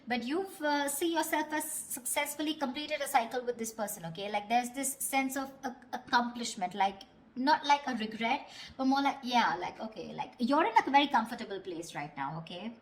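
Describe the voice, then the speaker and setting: A woman's voice: fairly high pitch, lively intonation, moderate pace. A woman giving a tarot reading in a casual, conversational way, speaking bluntly and casually swearing. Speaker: tarot reader.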